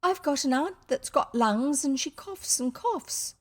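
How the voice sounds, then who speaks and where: A woman voicing a sweet little girl in a storybook, earnest, tender and wide-eyed, in a high girlish voice. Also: expressive, a little slow. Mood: anxious sympathy. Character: sweet, innocent, anxious little girl next door.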